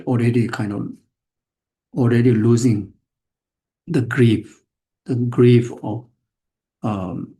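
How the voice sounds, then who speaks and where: Older male Buddhist teacher, gravelly, mellow tone, halting delivery full of restarts. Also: medium pitch. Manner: halting, repeats words and restarts phrases often. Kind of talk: dharma teaching to an audience.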